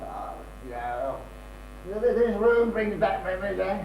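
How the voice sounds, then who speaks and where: A man's voice: sits high, sing-song, a little slow, vocal fry, highs cut off, full character voice. A man with a British accent acting a rambling, self-pitying drunken man in an amateur stage comedy. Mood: nostalgic, tipsy. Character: maudlin, rambling drunken man abandoned by his partner. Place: small theatre stage. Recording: echoey, distant stage recording with hum.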